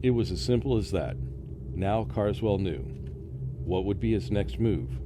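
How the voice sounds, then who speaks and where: Man playing a low-pitched, haunted scholar. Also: measured pace, noisy background, close to an everyday voice. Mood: uneasy, suspenseful. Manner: dramatic first-person storytelling. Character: haunted, nervous male scholar recounting his ordeal. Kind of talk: radio drama narration.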